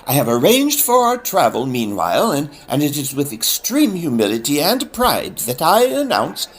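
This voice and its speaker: An actor playing a flamboyant, pompous and easily flustered Victorian opera impresario in a comic audio drama, speaking in florid, grandiose style. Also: male voice, fairly high pitch, huge pitch swings, moderate pace. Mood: flustered, reassuring. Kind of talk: period comedy audio drama acting.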